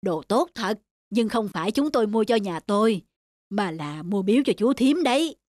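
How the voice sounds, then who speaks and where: A woman playing a humble, generous older country relative in an animated family drama, homely and reassuring. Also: medium pitch, moderate pace, no room sound, studio quality, lightly acted.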